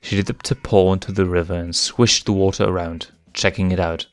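A man narrating a fan story in a steady, measured reading voice. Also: deep voice, dry recording. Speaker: fanfiction reader.

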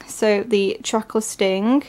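A woman from England with a stuffy, congested voice from a cold, chatting excitedly through an unboxing with lots of fillers. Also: medium pitch, takes it slowly. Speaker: vlogger. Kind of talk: casual unboxing narration. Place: at home, at a table.

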